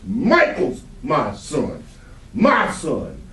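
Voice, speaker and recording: A man's voice: fairly high pitch, wildly swooping pitch, drawn-out words, bright, forceful tone, full character voice. A man playing an angry, rejecting father, commanding and contemptuous in a staged play reading. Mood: scornful, stern. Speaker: stage actor in a readers theatre. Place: live readers theatre room. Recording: roomy hall sound, far from mic.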